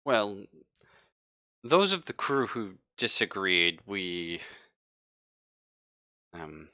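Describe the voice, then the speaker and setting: A man playing a nervous, evasive younger space crew survivor in a tabletop game, speaking haltingly with stammers. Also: low-pitched, big pitch swings, bright, forceful tone, no echo, clean studio sound, full character voice. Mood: evasive, explaining.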